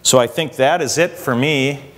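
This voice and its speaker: A man's voice: medium pitch, normal speed, a bit bright. Young engineer guy explaining his study, walks around, lapel mic, echoey room. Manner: explanatory, walking around while talking. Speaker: engineer and researcher presenting. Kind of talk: conference presentation. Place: hotel conference room. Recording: reverberant conference hall.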